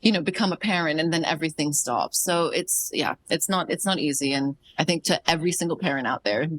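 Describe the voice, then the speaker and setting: A woman in her thirties with a lowish voice hosts a relaxed podcast interview. She is effusive and grateful, and she leans on warm praise. Her delivery sounds chatty and friendly. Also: fairly quick. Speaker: podcast host. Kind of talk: casual interview.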